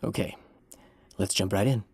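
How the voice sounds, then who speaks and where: A man's voice: on the low side, normal speed, vocal fry, darkish tone, slightly rough, no room sound, clean studio sound. A man chatting casually as host, informal and rambling. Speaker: host introducing a dramatic reading. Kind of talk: casual chat.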